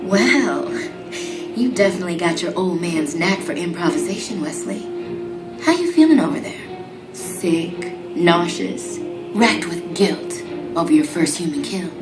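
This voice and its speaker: A woman's voice: on the low side, expressive, measured pace. A woman playing a brash, foul-mouthed assassin mentor who talks in commanding, taunting slang in a motion comic. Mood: teasing. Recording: roomy sound over clear music.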